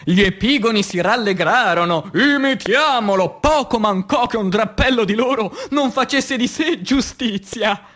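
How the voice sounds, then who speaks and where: A man reciting poetry as a stage actor, in a dramatic, declamatory and solemn manner with long pauses. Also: sits high, fairly bright tone. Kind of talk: dramatic theatrical poetry recitation for radio theatre.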